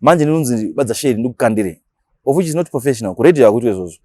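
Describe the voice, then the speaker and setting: A Shona-speaking man with a Zimbabwean accent, talking casually as an interview guest and explaining things in animated, slangy language with acted-out examples. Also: medium pitch, rapid-fire, no room sound, clean studio sound. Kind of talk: casual interview talk mixing Shona and English.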